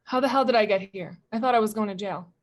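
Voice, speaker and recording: A woman's voice: medium pitch, quick pace, close to an everyday voice. A woman playing an agitated male street hustler held captive in an audio drama, slangy, defiant and panicky. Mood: panicked.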